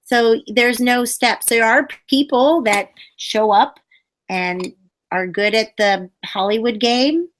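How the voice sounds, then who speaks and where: A woman puppeteer chatting loosely in an interview, her thoughts tumbling out with lots of fillers and restarts. Her voice sits a little high, with an easy, conversational flow. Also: takes it slowly. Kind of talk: casual interview chat.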